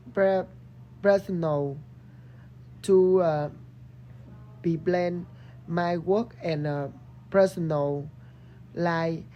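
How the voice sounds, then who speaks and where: A young woman from Vietnam with a Vietnamese accent, giving a halting student presentation in English as a second language, often restarting words. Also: low voice, dull audio, slight background noise. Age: young adult. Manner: hesitant, broken word starts. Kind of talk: halting rehearsed English class presentation and interview.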